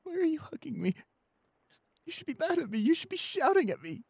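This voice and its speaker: A man playing a shy, anxious, apologetic young boyfriend in an audio roleplay, halting and repeating himself in a breathy, husky voice. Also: extremely high pitch, sing-song, a little mellow, dry recording, exaggerated voice. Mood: guilty, distraught. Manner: halting, stammering, repeating pleas. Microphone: close studio microphone, dry.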